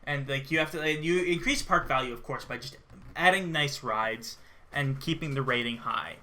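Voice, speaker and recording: A man's voice: medium pitch, moderate pace, sharp tone, a little hiss or hum. A man in his thirties giving casual gameplay commentary as a co-host. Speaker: co-host of gaming series.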